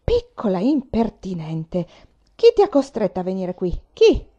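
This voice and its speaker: A woman voicing a big, hot-tempered, bullying older man in an audiobook, booming and firing off blunt questions. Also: a little fast, dark tone, dull audio, close to an everyday voice. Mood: furious. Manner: blustering, interrogating, exclamatory. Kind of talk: audiobook character voice.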